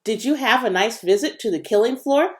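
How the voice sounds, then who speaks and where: Woman as a high-voiced, curious young girl. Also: lively intonation, brisk, very noisy, close to an everyday voice. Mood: friendly.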